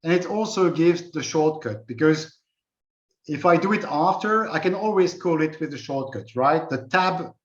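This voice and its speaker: A man from Belgium walking through a software demo at a user group meeting, unhurried and thinking aloud with many fillers. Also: a little high, lively intonation, echoey room, muffled recording. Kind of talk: screen-share software demo.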